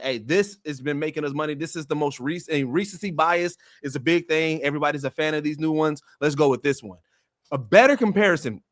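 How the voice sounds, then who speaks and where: A man's voice: fairly high pitch, fairly bright tone. A man on a podcast panel explaining his opinions in casual, colloquial talk. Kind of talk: casual pop culture discussion.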